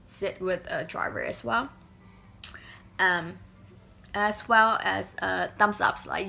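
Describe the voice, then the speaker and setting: A young woman from Vietnam, answering interview questions in halting speech, searching for words. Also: lowish voice, vocal fry, sharp tone. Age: young adult. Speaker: student interviewee.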